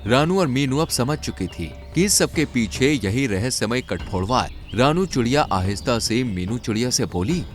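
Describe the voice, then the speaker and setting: Lively male cartoon story narrator. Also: medium pitch, moderate pace, hardly any pauses, soft background music. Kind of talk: scripted children's story narration.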